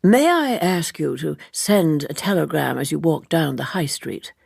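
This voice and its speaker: A woman voicing a courtly, wordy older gentleman author in a measured, formal, orotund manner, as in an audiobook. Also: deep voice, very animated, gravelly, slightly rough, no echo, studio quality, exaggerated voice.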